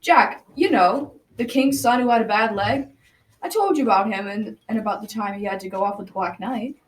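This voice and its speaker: A woman's voice: medium pitch, a little fast, close to an everyday voice. A teenage girl playing a folksy old grandfather who tells his grandchildren a tale in a school radio drama. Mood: patient, folksy. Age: young teenager. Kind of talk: scripted storytelling. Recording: distant mic, clear background noise.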